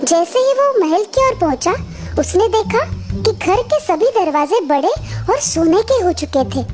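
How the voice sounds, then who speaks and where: Very high-pitched, lively female storyteller. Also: unhurried. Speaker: narrator. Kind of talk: scripted children's story narration. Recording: music behind the voice.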